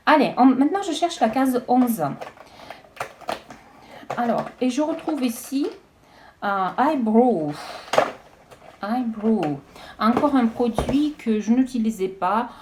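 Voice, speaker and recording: A woman's voice: fairly high pitch, expressive, noisy background. Hesitant woman unboxing beauty products. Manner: hesitant, halting, full of restarts. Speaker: beauty vlogger. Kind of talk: casual unboxing chat.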